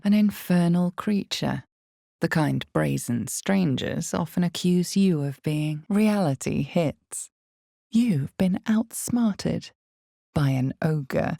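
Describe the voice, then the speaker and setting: A woman playing an ominous, all-knowing narrator of a fantasy video game, speaking in measured, deliberate, dramatic storytelling. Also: low-pitched, vocal fry, a little mellow, dry recording, studio quality, exaggerated voice. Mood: dramatic, grave.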